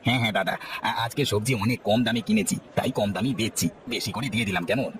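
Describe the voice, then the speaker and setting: A man's voice: on the low side, fast talker, fairly bright tone, some chatter behind, pitched below the performer's own voice, lightly acted. A man voicing a chatty, friendly market vegetable hawker with eager sales patter in a cartoon. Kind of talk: cartoon voice acting. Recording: music bed behind.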